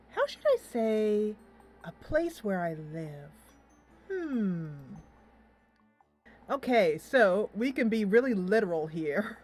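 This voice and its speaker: A woman gives casual gaming commentary with a very lively, swooping delivery. She is playful and giggly, often musing aloud as she makes her choices. Soft music plays faintly behind her mid-range voice. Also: medium pitch. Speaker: host of a gaming channel. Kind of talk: casual let's play commentary.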